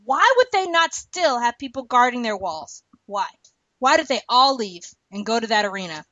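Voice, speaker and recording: A woman's voice: fairly high pitch, very animated, normal speed, pushed and bright, no room sound, dull audio. A woman hosting a casual podcast, opinionated and emphatic, given to exasperated rhetorical questions. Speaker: podcast host.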